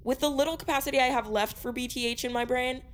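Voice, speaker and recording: A woman's voice: sits high, fast talker, natural voice. A young woman playing a skeptical, sarcastic, reluctant girl with an exasperated, challenging manner in an audio drama.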